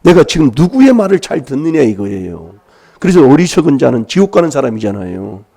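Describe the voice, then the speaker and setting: A man's voice: medium pitch, sing-song, brisk, creaky, warm tone, husky. A man preaching an emphatic sermon in a church, prodding his listeners with rhetorical questions while he has a cold. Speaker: pastor.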